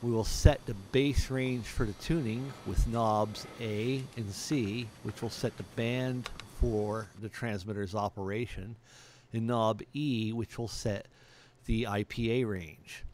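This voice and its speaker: A man's voice: lowish voice, steady tone, takes it slowly, darkish tone. A middle-aged man giving a plain, matter-of-fact step-by-step demonstration as an amateur radio operator. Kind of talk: step-by-step instructional narration. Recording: clearly audible background noise.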